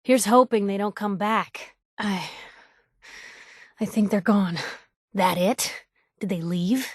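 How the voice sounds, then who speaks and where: A woman's voice: medium pitch, takes it slowly, slightly rough, dry recording, studio quality. An actress playing a plucky young woman newspaper reporter in a video game, with a wry, teasing, conversational way of talking. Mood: watchful. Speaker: companion character voice actor.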